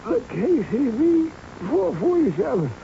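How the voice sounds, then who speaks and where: A man's voice: very high voice, sing-song, unhurried, gravelly, highs cut off, heavily acted. An actor in his twenties playing a feverish, frightened young worker who is dying, weak and delirious in a radio drama. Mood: dazed, weak. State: weak, delirious, struggling to speak. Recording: noisy band-limited old radio drama recording.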